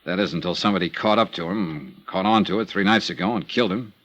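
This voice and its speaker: An actor playing a hard-boiled insurance investigator in a vintage radio drama, wry, terse and probing. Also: male voice, deep voice, fast talker, a bit bright, muffled recording. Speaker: narrator and investigator. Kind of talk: 1950s radio drama acting.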